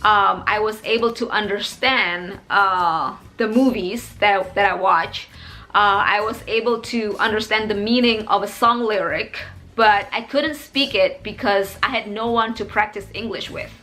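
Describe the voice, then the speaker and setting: A woman's voice: medium pitch, unhurried, bright, forceful tone, soft background music. A young woman from Indonesia speaking English with an Indonesian accent, chatting openly and reflectively to her viewers in a casual video. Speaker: English teacher vlogger. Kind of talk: casual talk to camera.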